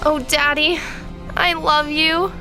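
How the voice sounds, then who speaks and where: A woman playing an excitable young daughter who adores and longs for her father in an audio drama. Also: sits high, slow, over music. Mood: adoring.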